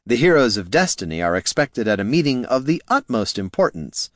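A man voicing a smooth, menacing male crime boss who speaks coolly and quietly. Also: medium pitch, sing-song, a little fast, no room sound, studio quality, voice pushed up, close to an everyday voice. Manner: cool, quiet, threatening.

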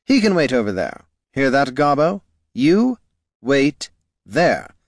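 A man's voice: medium pitch, sing-song, slow, dry recording, clean studio sound, pitched above the performer's own voice. A man voicing a sneering male elf guard captain who talks down to people, in a fantasy audiobook. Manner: mocking and dismissive.

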